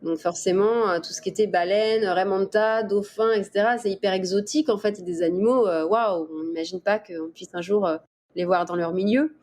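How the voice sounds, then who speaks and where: A middle-aged woman from the Paris region answering interview questions in a casual, explanatory way with hesitant pauses. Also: mid-range voice, steady tone, a little mellow. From Paris region, France. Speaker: interview guest, dolphin researcher. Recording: clear background ambient noise.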